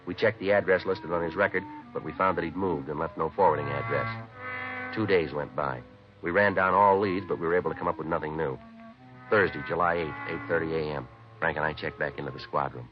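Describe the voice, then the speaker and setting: A very deep-voiced American police detective, terse and businesslike. He speaks quickly in a flat, matter-of-fact way, as in old radio crime drama. The vintage recording is limited in tone, with clear music behind. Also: muffled recording. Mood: deadpan narration. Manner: deadpan, clipped, matter-of-fact. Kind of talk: 1950s radio police drama acting and narration.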